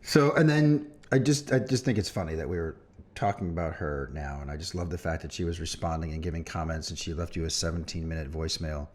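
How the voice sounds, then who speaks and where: A man's voice: a bass voice, fast, darkish tone. A man co-hosting a podcast in casual, unscripted chat, with an irreverent manner and free swearing. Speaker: podcast co-host.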